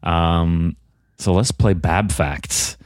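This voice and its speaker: A man's voice: sits low, drawn-out words, no room sound, studio quality. A man hosting a comedy podcast, asking questions with many hesitations and fillers. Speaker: podcast host.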